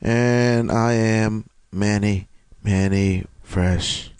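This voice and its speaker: A man's voice: on the low side, steady tone, extremely slow, darkish tone, clean tone, no room sound. A man co-hosting a casual podcast, crude and playful, laughing often. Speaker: podcast co-host. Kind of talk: casual comedic chat.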